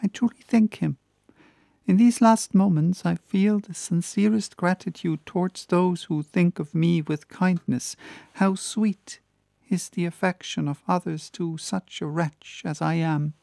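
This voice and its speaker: Gentle doomed young woman played by a man, lifted pitch, tender and pleading, audiobook drama. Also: steady tone, a little slow, creaky, dry recording. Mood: grateful, resigned. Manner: earnest, tender, pleading delivery. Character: gentle condemned young woman, grateful, sorrowful, resigned.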